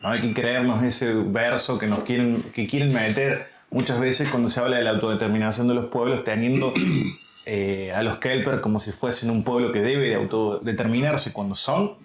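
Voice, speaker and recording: A man's voice: mid-range voice, steady tone, normal speed. An Argentine man speaking as an interview guest, explaining his points in an earnest, teacherly way. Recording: echoey room.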